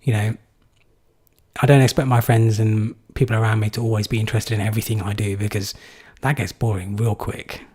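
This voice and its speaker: A man's voice: on the low side, flat delivery, a little fast, a little mellow, husky. A young man from England answering viewer questions in a live stream, casual and rambling with many fillers. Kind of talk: live Q&A chat.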